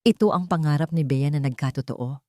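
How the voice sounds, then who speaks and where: A woman's voice: deep voice, a little fast, warm tone, dry recording. A woman narrating a scripted, dramatic love story as a storyteller.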